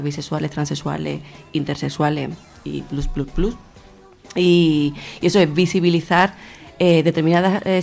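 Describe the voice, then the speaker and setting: A young woman with a gravelly, creaky voice gives interview answers. Her pitch moves very little, and she builds her thoughts hesitantly as she goes. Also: darkish tone. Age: young adult. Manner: hesitant phrasing, searching for words. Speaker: interview guest, feminist activist.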